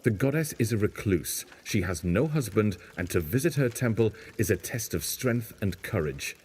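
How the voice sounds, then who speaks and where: A man's voice: fairly low pitch, animated, normal speed, a little mellow, a little hiss or hum, close to an everyday voice. A man playing a reluctant, sceptical survivor stranded on an alien planet in an audio drama, speaking in an insistent, dismissive way. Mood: grave, sceptical.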